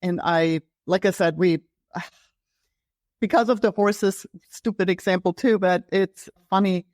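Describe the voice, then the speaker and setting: A woman's voice: lowish voice, measured pace, a little mellow, no echo, studio quality. A woman from Germany, a health coach telling her story in an interview, talking with many fillers and restarts. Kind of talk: casual interview conversation.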